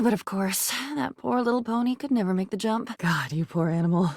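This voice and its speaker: A woman's voice: a little high, fairly bright tone, no echo, clean studio sound, exaggerated voice. A woman voicing an anxious, lonely living diary in a video game, rambling and disjointed, lurching between moods and volumes in a theatrical way. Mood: manic, bitter.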